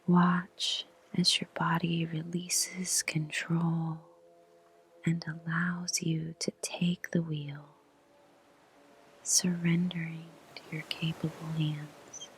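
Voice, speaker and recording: A woman guiding a meditation in a soft whisper, with a slow, soothing delivery and long pauses. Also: sits low, flat delivery, slight background noise, rough recording. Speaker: meditation guide. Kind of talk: guided meditation.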